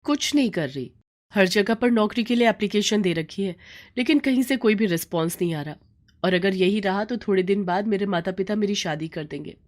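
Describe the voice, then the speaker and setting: A woman voicing a gentle, dutiful young daughter who speaks earnestly in a storybook tale. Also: medium pitch, brisk, close to an everyday voice. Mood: downcast.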